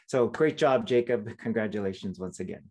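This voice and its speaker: A man's voice: fairly low pitch, brisk, a little mellow. A middle-aged man hosting an online event in a casual, chatty way with joking asides. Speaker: host, moderator. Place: indoor room on video call.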